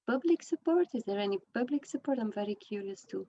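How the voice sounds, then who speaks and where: A woman's voice: on the high side, lively intonation, fast, dark tone. A woman from Belgium asking a conversational question as an audience member, tentative and thinking aloud. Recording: dull, lo-fi microphone sound.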